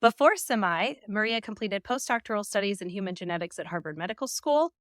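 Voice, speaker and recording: Host: woman, upbeat scripted read, medium pitch, dry close mic. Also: expressive, fairly quick, no room sound. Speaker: podcast host. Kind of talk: scripted guest introduction and interview.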